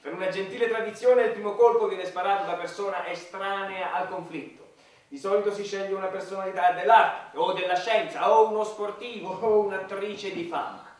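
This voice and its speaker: A pompous army general, high-voiced for a man, played by an actor in a satirical radio play. He speaks in smug, formal oratory, far from the microphone in a very echoey hall. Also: fairly even intonation, fairly quick, natural voice. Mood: pompous, self-satisfied. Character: pompous army general, minister of defence, smugly poetic.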